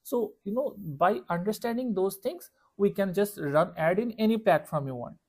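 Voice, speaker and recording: A young man from Bangladesh with a Bangladeshi accent, a freelance ad marketer earnestly pitching his services to a client on a call. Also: expressive, moderate pace, darkish tone. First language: Bengali. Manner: earnest, reassuring, fillers of "you know". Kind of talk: sales call.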